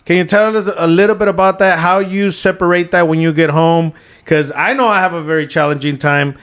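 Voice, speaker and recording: A man in his thirties hosting a podcast, energetic and affirming, dropping Spanish phrases into his English. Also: on the high side, a little fast, smooth. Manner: hyped, affirming, mixes in Spanish. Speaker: podcast host. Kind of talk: casual podcast interview.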